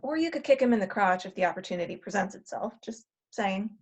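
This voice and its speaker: A woman's voice: mid-range voice, lively intonation, fast, natural voice. A woman playing a jaded, insistent time traveler in a stage comedy, urgent and emphatic with a wry edge. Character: insistent, jaded older self from the future. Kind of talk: stage comedy acting in a table read.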